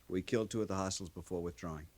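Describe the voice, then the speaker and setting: A man's voice: low voice, very fast, darkish tone. An American man acting an earnest, hot-headed young lieutenant in a 1950s radio western, addressing superiors with formal military deference. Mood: subdued. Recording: 1950s radio drama recording.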